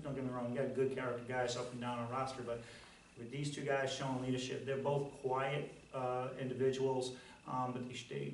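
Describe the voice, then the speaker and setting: A middle-aged man, a basketball coach, answering press questions in a halting way with many fillers and restarts. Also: mid-range voice. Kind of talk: post-game press conference answers. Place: press conference room. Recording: roomy, distant, faint noise.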